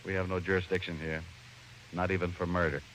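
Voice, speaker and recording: A man's voice: deep voice, level tone, brisk, over loud music, lightly acted, low-quality recording. An actor playing a wry, flippant male private detective, speaking and narrating in an old radio drama. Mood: dry, composed.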